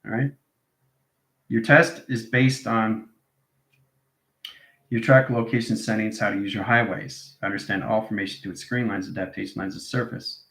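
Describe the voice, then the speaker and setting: The recording is noticeably echoey around a man with a fairly low voice. He teaches in an improvised esoteric monologue. His phrases come as incantatory strings of technical-sounding terms. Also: steady tone, normal speed, pauses often, fairly bright tone. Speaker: instructor, channel presenter. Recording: echoey, reverberant room sound.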